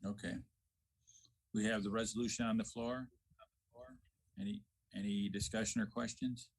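A man's voice: lowish voice, steady tone, moderate pace, vocal fry, rough recording. A man chairing a board meeting with formal procedure.

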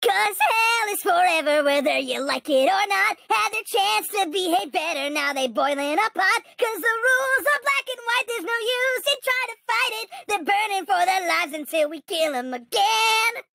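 A woman's voice: very high-pitched, normal speed, creaky, few pauses, sharp tone, no room sound, heavily acted. A woman voicing a small, manic cartoon creature, singing show tunes in a gleeful, brash, theatrical way. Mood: gleeful menace. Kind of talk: musical show-tune singing in character.

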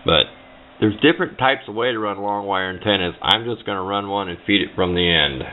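A man's voice: on the low side, a little fast, a little hiss or hum. A man giving a casual, unscripted how-to at a workbench, talking in a plain, rambling, folksy way. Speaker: hobbyist demonstrating a homemade build. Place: indoors at a workbench. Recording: muffled, narrow phone-like camera audio.

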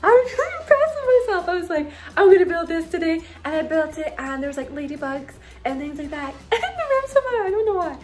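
Young woman vlogger, high bright voice, giggly and chatty, phone at arm's length, faint music under it. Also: very animated, quick pace, few pauses. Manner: giggly, bubbly, lots of "like" and "you guys". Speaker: vlogger giving a house tour. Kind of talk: casual chatty vlog. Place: bedroom of a house, indoors. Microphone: phone held at arm's length.

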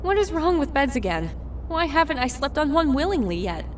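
A woman voicing an earnest young female pony in an audiobook, with a hesitant, gently questioning manner. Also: sits high, sing-song, fairly quick, slight background noise, pitched above the performer's own voice. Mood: hesitant, curious.

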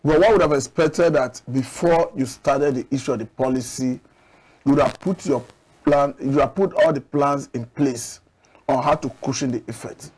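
A middle-aged man from Nigeria, a studio guest analyst, making measured, argumentative points with occasional restarts, on a lapel mic. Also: medium pitch, a little mellow, slightly rough, low-quality recording. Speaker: public affairs analyst guest. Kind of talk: studio TV discussion. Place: TV studio set.

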